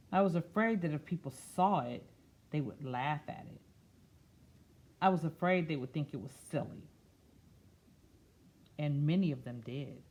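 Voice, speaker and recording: A woman reading a picture book aloud expressively, slipping into quieter, musing, conversational asides. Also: low-pitched, slightly rough. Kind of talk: expressive read-aloud with soft, chatty asides.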